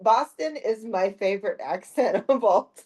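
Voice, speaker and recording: A woman co-hosting a podcast, chatty and quick to react. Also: medium pitch, animated, mellow. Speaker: podcast co-host. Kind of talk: casual podcast chat.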